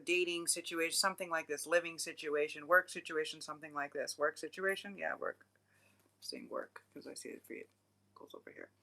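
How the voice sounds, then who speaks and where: Female tarot reader, low and bright-toned, rambling, frank, swears casually. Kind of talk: casual livestream tarot reading.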